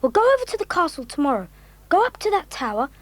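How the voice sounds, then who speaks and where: A polite, level-headed schoolboy in a children's audio drama. The voice is very high and moves about in pitch, with proper, well-spoken phrasing. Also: female voice, very animated, fast talker, fairly bright tone, no echo, full character voice. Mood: puzzled, sensible. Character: sensible, well-spoken older schoolboy, calm leader.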